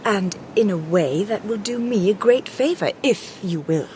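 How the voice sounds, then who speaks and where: An actress in a Victorian radio drama playing a shrewd, composed lady detective with dry wit, measured and crisp, dropping into low confiding asides. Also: animated, darkish tone, a little hiss or hum, natural voice. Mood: wry.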